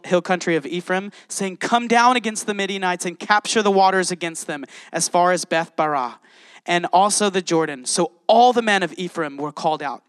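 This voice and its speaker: A man with a high voice preaches a sermon in a church. He reads scripture aloud and turns to the congregation with passion. The sound is close and dry, with no room echo. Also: fairly bright tone. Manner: passionate, addresses congregation directly. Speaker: pastor. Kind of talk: sermon with Bible reading.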